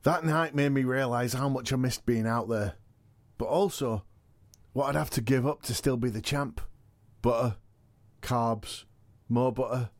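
An actor playing a cocky, food-loving, washed-up wrestling champion in an audio comedy, boastful and evasive with deadpan jokes. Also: male voice, medium pitch, measured pace, long pauses, no room sound, close to an everyday voice. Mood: wistful, resigned. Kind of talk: comedy audio drama dialogue.

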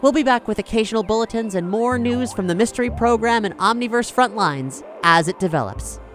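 A woman's voice: a little high, a little fast, music in the background. A woman podcast host and player talking in a casual, chatty style. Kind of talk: casual chat.

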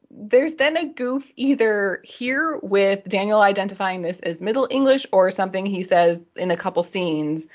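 A woman's voice: mid-range voice, dull audio. A woman podcast host chatting casually as a nerdy, giggly explainer who wanders off on digressions.

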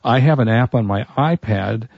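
A man's voice: medium pitch, normal speed, gravelly, husky, no room sound, muffled recording. A man co-hosting a casual tech show and losing his voice, chatting through product picks and tech news. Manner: chatty, offers product rundowns and opinions.

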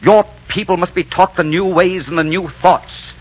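An actor playing a commanding, lovestruck Spanish conquistador in a 1940s radio drama. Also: male voice, high voice, fairly even intonation, moderate pace, slightly rough. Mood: fervent, imperious. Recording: dull 1940s radio recording.

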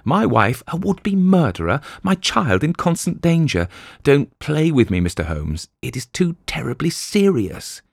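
A man's voice: on the high side, sing-song, unhurried, creaky, no echo, studio quality, voice pushed up, full character voice. Raised, strained husband figure, begging for answers voiced by a male reader. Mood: desperate. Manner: urgent, pleading. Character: distraught middle-aged husband, pleading and desperate.

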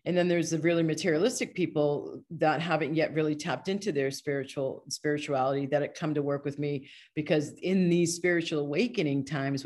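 A woman's voice: deep voice, a little mellow. A woman speaking as a podcast guest, a healer and coach explaining ideas in an earnest, discursive, conversational way. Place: online video call.

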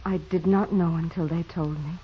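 A woman playing a devout, humble teenage peasant girl in a 1940s radio drama, speaking simply and sincerely in a soft voice. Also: lowish voice, fairly even intonation, moderate pace, slight background noise, natural voice. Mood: humble, earnest. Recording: muffled, thin old radio broadcast.

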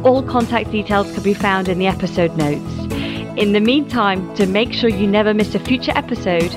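A woman's voice: mid-range voice, normal speed. A woman reading a polished, scripted podcast outro. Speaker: show announcer. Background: guitar music.

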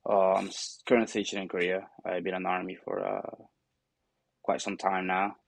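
Venezuelan man, guest, very deep and gravelly, halting answers, dry close sound. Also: little pitch movement, no echo. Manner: hesitant, many fillers and restarts. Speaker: guest, army sergeant. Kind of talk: interview answers.

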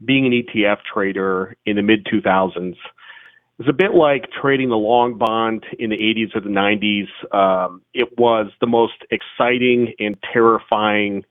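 A man's voice: fairly low pitch, normal speed, dry recording. Man on a tinny phone line. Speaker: guest, financial expert. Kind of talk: interview answers.

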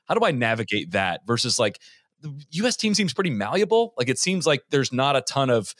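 A man with a lively, swinging voice argues his point in animated conversation. He is miked close and dry, at a middle pitch. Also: a little fast, no room sound. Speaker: podcast co-host. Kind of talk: casual sports roundtable chat.

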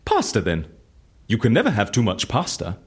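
A very low-voiced, officious woman. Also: wildly swooping pitch, moderate pace, husky, exaggerated voice. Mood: curious, scheming. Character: wry, officious woman running a secret disappearance service. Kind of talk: audio drama acting.

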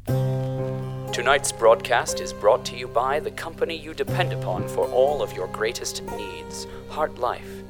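A man's voice: mid-range voice, unhurried, lightly acted. Man playing a solemn announcer over guitar music. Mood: solemn, promotional. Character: solemn male sponsor announcer of a dystopian broadcast. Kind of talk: old-fashioned scripted broadcast introduction. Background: plucked guitar music.

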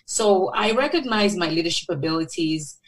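A woman's voice: lowish voice, animated, moderate pace. A woman in her thirties from Nigeria, a podcast guest who answers in an earnest, reflective way with fillers and restarts. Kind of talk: interview answers.

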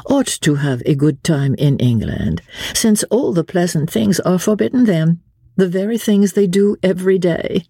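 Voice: medium pitch, animated, moderate pace, creaky, dark tone, husky, dry recording, clean studio sound, voice pushed up. A narrator voicing a witty, playful young French aristocratic woman, bored and teasing, in an audiobook. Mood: arch, teasing. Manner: playful, sighing, teasing, with light laughs.

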